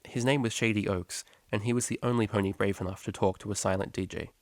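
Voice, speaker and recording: A man with a fairly low voice narrates a piece of fiction from a script. The recording is very dry, with no room sound. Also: fairly even intonation, fast, dry recording. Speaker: story reader.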